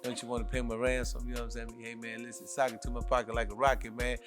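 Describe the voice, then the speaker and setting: Boastful man from Milwaukee, fast talker. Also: medium pitch, level tone, music in the background. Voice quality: rhyming swagger, frequent "you know what I'm saying". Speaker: podcast host. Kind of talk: boastful casual talk.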